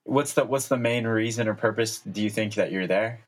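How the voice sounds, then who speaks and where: Friendly podcast host, fairly low voice. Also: male voice, a little fast, a crowd in the background. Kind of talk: interview podcast hosting.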